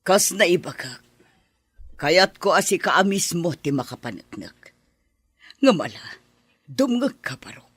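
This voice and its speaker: A woman playing an elderly grandmother who frets over her kidnapped grandchild in a radio drama, speaking softly and pleading. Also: on the low side, big pitch swings, a little slow, long pauses, husky, no room sound, heavily acted. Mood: worried. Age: older. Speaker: mother and grandmother.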